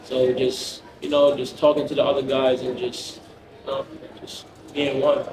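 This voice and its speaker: A man's voice: mid-range voice, flat delivery, unhurried. A man in his thirties from Jamaica, a basketball player, answering press questions in a matter-of-fact way. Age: 30s. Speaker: national team basketball player. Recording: roomy, crowd noise behind.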